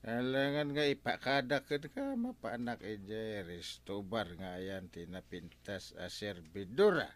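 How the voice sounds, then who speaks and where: An actor with an Ilocano Filipino accent playing a tipsy, playful village captain in a rambling, evasive radio comedy style. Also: male voice, on the low side, lively intonation, unhurried, hardly any pauses. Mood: sleepy, evasive. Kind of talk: radio comedy drama acting.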